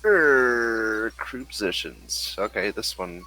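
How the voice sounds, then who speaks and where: A man running an online tabletop game as game master, talking haltingly and muttering as he goes. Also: fairly low pitch, animated, pushed and bright.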